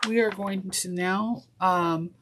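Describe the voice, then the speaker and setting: A woman's voice: medium pitch, fairly even intonation, takes it slowly. A congested woman with a nasal voice teaching a sewing project step by step, chatty and correcting herself as she goes, with apologies along the way. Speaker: sewing instructor. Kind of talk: step-by-step craft tutorial. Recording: noisy with clicks.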